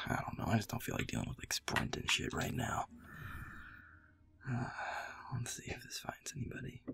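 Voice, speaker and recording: A man acting as a sleepy young werewolf boyfriend in an intimate audio roleplay. He mumbles in a slow, gravelly, husky voice full of fry. He is affectionate, self-deprecating and hesitant, chatting casually like a gamer at home. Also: medium pitch, a bit bright, exaggerated voice. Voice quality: breathy, husky, gravelly half-whisper. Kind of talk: intimate roleplay ASMR, murmured ad-lib gamer chat.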